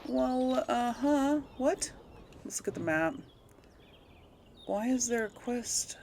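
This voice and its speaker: A woman's voice: on the high side, expressive, slow pace, a little mellow, slight background noise. A woman giving casual gameplay commentary, rambling and thinking aloud as she goes. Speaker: let's play host.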